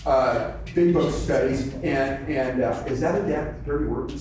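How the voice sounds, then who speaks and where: A man's voice: mid-range voice, measured pace, darkish tone. Man speaking in a very echoey hall. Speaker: meeting participant sharing from the floor. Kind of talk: unscripted sharing at a recovery convention. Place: convention meeting room, indoors. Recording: very echoey hall, distant mic, background noise.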